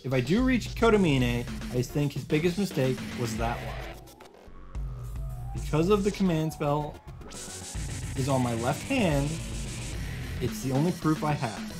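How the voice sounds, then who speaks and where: A man's voice: fairly high pitch, expressive, unhurried. Man reading as desperate, determined young hero, music behind. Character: desperate, determined young male hero narrating his final fight. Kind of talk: dramatic reading of visual novel narration.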